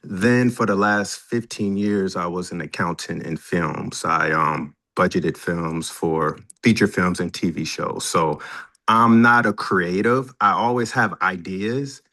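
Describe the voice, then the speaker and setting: Middle aged guy, deep voice, chatting on a panel, halting as he thinks it through. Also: pushed and bright. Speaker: film producer, panel guest. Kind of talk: conversational Q&A answer.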